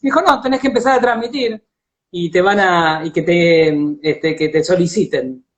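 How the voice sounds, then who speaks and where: Argentine interviewer on a dull internet call. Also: male voice, sits high. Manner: halting, circling back over phrases. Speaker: interviewer hosting a live stream. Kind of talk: casual live-stream interview. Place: live video call on social media.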